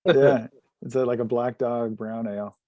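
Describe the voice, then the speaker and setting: A man with a mellow, relaxed voice chats casually as a tabletop player. His pitch is average and the sound is dry. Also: normal speed, dry recording. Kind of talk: casual chat.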